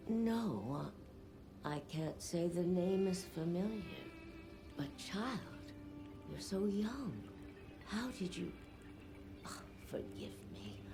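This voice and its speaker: A woman playing a gracious, gentle old village elder who speaks formally in a video game cutscene. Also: on the low side, heavily acted. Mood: tender, sorrowful. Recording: loud music behind. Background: music.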